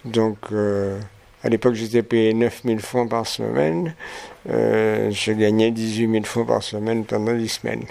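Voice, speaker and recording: A man's voice: lowish voice, fairly even intonation, a little slow, creaky, darkish tone. A man in his seventies from Martinique, a photographer answering interview questions with many hesitations and restarts. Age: 70s. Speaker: photographer guest.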